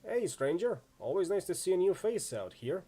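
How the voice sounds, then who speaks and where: A man's voice: high voice, sing-song, moderate pace, dark tone, breathy edge, pitched above the performer's own voice, heavily acted. A man voicing a friendly, easygoing orc swordsman in a video game, chatty and full of laid-back street slang. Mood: friendly, breezy. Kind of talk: acted reading of role-playing game dialogue.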